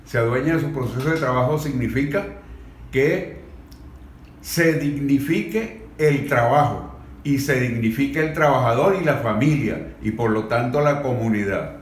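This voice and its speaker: Slow, deliberate Venezuelan man in echoey room. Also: mid-range voice, slight background noise. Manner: deliberate, halting, formal phrasing. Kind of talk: earnest political commentary in a discussion.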